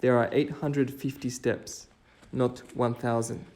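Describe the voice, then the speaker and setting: A man's voice: mid-range voice, flat delivery, measured pace, darkish tone. A man speaking as a hiking vlogger, moving between casual trail commentary and folktale narration.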